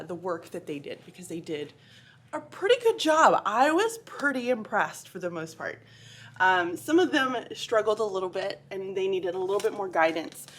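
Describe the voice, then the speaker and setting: A woman teacher talking casually through her week in a vlog, chatty and a bit tired, with a sleepy voice. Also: mid-range voice. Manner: chatty, with frequent ums. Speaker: fourth grade teacher.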